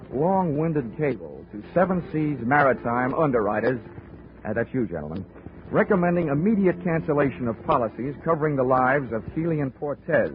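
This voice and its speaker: A man's voice: mid-range voice, natural voice. An actor playing a wisecracking male insurance investigator, narrating his case in 1940s radio drama style with wry, dry asides. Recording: tinny 1940s radio broadcast, faint music.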